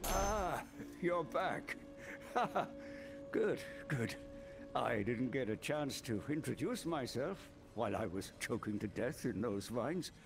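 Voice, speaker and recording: An actor playing a hearty, folksy druid in a video game. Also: male voice, medium pitch, lively intonation, takes it slowly, creaky, over music. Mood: jovial, grateful. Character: hearty bearded druid grateful for his rescue. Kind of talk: video game character acting.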